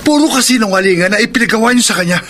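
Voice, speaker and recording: An actor playing an anxious, pleading young husband in an emotional radio drama. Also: male voice, sits high, expressive, racing, background noise. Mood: pleading, upset.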